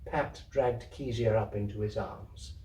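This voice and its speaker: A man's voice: lowish voice, steady tone, measured pace, dark tone. A man reading a literary story aloud, with dramatic, descriptive delivery. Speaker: story reader, theatre troupe member. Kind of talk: expressive literary narration to an audience. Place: indoor community venue. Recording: echoey room, distant microphone.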